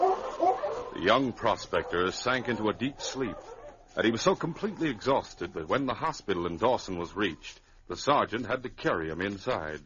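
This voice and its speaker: A man narrating a radio adventure drama as a measured storyteller in a 1940s scripted style. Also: lowish voice, big pitch swings, background noise. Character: narrator of a northern adventure tale. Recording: muffled vintage radio recording.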